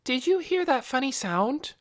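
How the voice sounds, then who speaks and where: A man's voice: very high-pitched, big pitch swings, unhurried, no room sound, studio quality, voice pushed up, heavily acted. A man voicing a curious, unsure young girl with a tentative, questioning manner in a storybook reading. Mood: puzzled, innocent.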